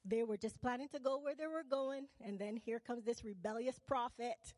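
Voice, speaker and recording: A woman's voice: on the high side, moderate pace, a little mellow, dry recording, in a large hall. A woman from Barbados preaching a sermon. She keeps asking the congregation questions and calls for "amen" and "right?".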